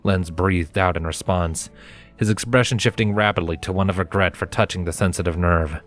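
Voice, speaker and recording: Low-voiced man narrating a story. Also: steady tone, moderate pace. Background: music.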